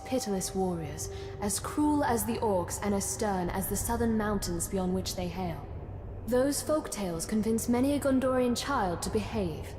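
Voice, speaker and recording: A woman playing a solemn, thoughtful chronicler of a besieged fantasy city in a video game, narrating in a measured, grave and reflective way. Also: medium pitch, natural voice. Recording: echoey hall reverb under music.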